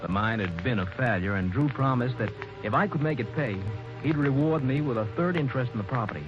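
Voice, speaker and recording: An actor playing a young, ambitious engineer in a 1940s radio drama, earnest and stammering when pressed, on a dull old broadcast recording. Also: male voice, mid-range voice, expressive, a little fast, over music, lightly acted. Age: young adult. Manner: stammering and earnest, rising to anger. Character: young ambitious male mining engineer narrating, bitter. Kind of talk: 1940s radio acting and narration.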